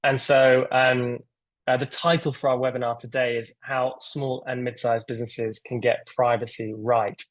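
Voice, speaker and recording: A man's voice: mid-range voice, flat delivery, moderate pace, no echo. A man hosting a video-call webinar in a casual, rambling way, with lots of fillers and restarts. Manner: rambling, filler-heavy, enthusiastic. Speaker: webinar host.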